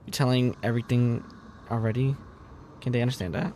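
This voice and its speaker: A man's voice: medium pitch, fairly even intonation, fairly quick, darkish tone, a little hiss or hum. A man live-streaming a story game, commenting casually as he plays. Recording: game music behind the voice.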